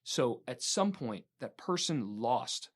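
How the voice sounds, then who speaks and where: A podcast host speaks in an earnest, reflective way at a middle pitch. He talks casually, with some "um" and "like". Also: animated, slow, slightly rough.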